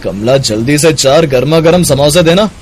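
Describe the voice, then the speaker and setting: A man voicing a friendly, complimentary customer at a food stall in an animated moral story. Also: a little high, moderate pace, slight background noise, lightly acted. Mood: pleased. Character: appreciative male samosa-stall customer.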